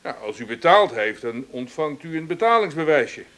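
Radio drama casting: officious utility official, fairly high voice, dry, stubborn, patronising. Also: male voice, big pitch swings, normal speed, fairly bright tone. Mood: insistent. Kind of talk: Dutch radio play acting.

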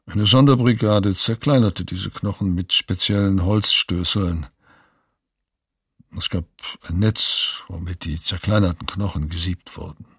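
A man's voice: sits low, measured pace, slightly rough, no echo, studio quality, exaggerated voice. An actor playing a sombre male witness who recounts atrocities in a factual, restrained way in a documentary radio play. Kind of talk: sober testimony, read dramatically.

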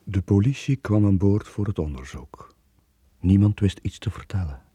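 An actor playing a guilt-ridden middle-aged sailor in a radio play, with brooding, sombre narration. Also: male voice, lowish voice, animated, moderate pace, dark tone, breathy edge, no room sound. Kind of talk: dramatic radio play monologue.

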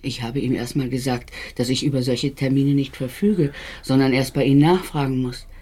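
A discreet receptionist speaks in a low, dark voice with a breathy softness. She is deferential and a little hesitant, in radio drama style. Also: steady tone, moderate pace. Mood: discreet, concerned. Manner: polite, hesitant. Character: discreet, deferential, concerned female receptionist of a professor.

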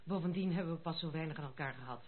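A woman's voice: sits low, racing. An actress playing a brusque, headstrong young noblewoman in a Dutch radio play, commanding and teasing in military turns of phrase. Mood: dryly teasing. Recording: tinny phone-like old radio play recording.

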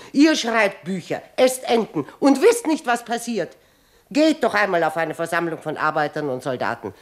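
An actress playing a fiery old woman revolutionary in a German radio drama, scolding and exclaiming in a declamatory manner. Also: on the low side. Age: older. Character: fiery old woman revolutionary zealot, self-styled warhorse.

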